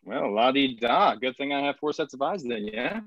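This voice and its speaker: A man's voice: mid-range voice, expressive, moderate pace, fairly bright tone, muffled recording. A man playing a cocky, irreverent colonial governor in a screenplay table read, flippant and sarcastic. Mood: sarcastic, joking. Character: cocky, irreverent male colonial governor who banters crudely.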